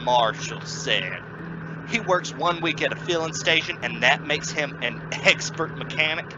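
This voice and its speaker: Woman doing exasperated radio sitcom dad, low voice, really animated, sarcastic and blustery, kinda muffled sound. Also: measured pace. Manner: blustering, sarcastic, stammering when cornered. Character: exasperated, stubborn suburban family father. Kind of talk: old-time radio sitcom acting. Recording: muffled recording with clear noise and vehicle sounds.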